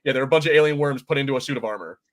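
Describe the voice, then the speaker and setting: Halting, informal male podcast host. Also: medium pitch. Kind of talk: casual pop-culture discussion, explaining game lore.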